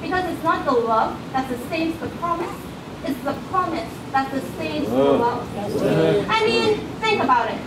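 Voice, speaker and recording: A woman's voice: sits high, lively intonation, moderate pace. A woman reciting rhymed spoken word poetry in a church, with an emphatic, rhythmic, preaching delivery. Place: church, live before a congregation. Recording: echoey room from far off, noisy.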